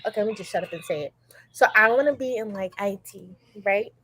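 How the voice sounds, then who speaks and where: A woman's voice: mid-range voice, quick pace, fairly bright tone, breathy edge. A woman in her twenties on a casual panel, rambling and unsure of herself. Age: 20s. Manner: rambling, unsure, self-correcting. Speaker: debate panelist.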